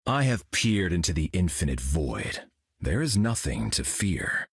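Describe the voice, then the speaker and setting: An actor voicing a serene, solemn golden cosmic hero for a video game, speaking in a calm, measured, grave way. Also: male voice, deep voice, husky, dry recording, clean studio sound, heavily acted.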